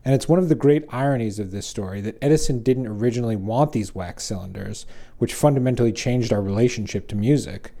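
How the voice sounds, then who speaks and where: A man's voice: medium pitch, fast, creaky, dark tone. A man narrating a scripted story feature as host, with wry, dry asides.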